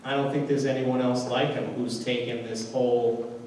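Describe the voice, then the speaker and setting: A man hosting an event, speaking slowly and earnestly with some ums as he introduces the next speaker. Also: mid-range voice, little pitch movement, a little mellow. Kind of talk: speech at an event. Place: large event room. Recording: echoey hall, mic far off, faint noise.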